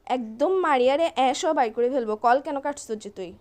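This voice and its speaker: A woman's voice: high-pitched, quick pace, a little mellow, natural voice. A young woman with a Chittagonian Bangladeshi accent playing an angry, nagging girlfriend in a comic phone argument, scolding and threatening over and over. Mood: indignant.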